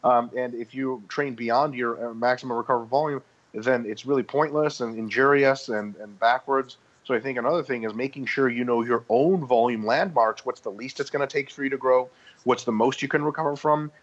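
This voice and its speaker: A man's voice: mid-range voice, fairly even intonation, fairly quick, dull audio. A man explaining muscle training in a casual podcast discussion, talking through his points with frequent ums and restarts. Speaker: guest expert.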